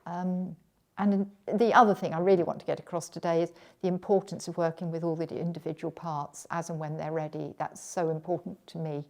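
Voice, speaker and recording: A middle-aged woman speaks earnestly in an interview about her own lived experience, with halting phrases and false starts. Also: on the low side, level tone, a little mellow. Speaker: interviewee with lived experience of dissociative identity disorder. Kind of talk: personal interview talk.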